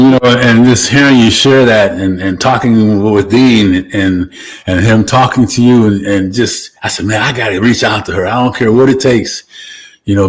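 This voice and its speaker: A man's voice: on the low side, rough recording. A man hosting an interview in a casual, informal and rambling conversational way. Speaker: interview host.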